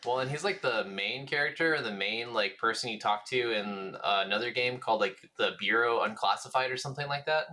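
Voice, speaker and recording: A man with a bright, crisp-edged voice at an average pitch chats as a podcast co-host. His delivery is informal and reactive, with brief agreements and chuckles. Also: brisk, few pauses. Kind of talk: casual reactive gaming chat.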